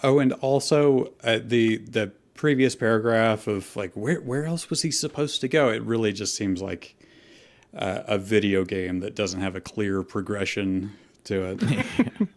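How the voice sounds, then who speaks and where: Slow, low-voiced, gravelly man chatting. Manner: halting, hesitant phrasing. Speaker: co-host of a fanfiction reading show. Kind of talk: casual chat.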